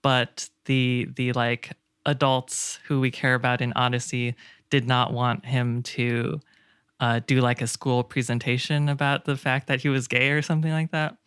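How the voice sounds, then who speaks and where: A man with a clear, resonant voice talks casually as a podcast panelist. He picks his words haltingly and thoughtfully. The sound is dry and close, without room echo. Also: mid-range voice, flat delivery, fairly bright tone. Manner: hesitant, halting, thoughtful phrasing. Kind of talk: casual discussion.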